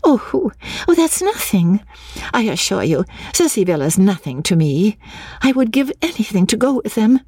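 A woman voicing an excitable, desperate young Englishwoman in an audiobook, gushing breathlessly and stammering over repeated words. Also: medium pitch, moderate pace, breathy edge, no room sound, clean studio sound, pitched above the performer's own voice. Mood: desperate, insistent. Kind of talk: audiobook character dialogue.

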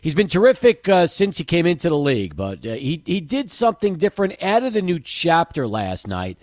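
A man hosting a sports radio show, energetic, setting up segments and asking guests questions. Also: fairly high pitch, normal speed, dry recording, studio quality.

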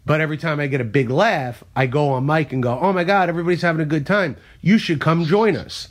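A man's voice: fairly high pitch, quick pace. A man who is a stand-up comedian, telling self-deprecating stories in casual podcast chat. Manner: storytelling, self-deprecating, animated when telling bits.

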